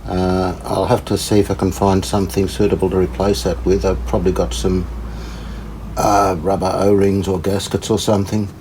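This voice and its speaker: Low-voiced man talking through a repair. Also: flat delivery, darkish tone, slight background noise. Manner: unhurried, tentative, thinking aloud. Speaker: host of a radio repair channel. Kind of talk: casual hands-on repair commentary.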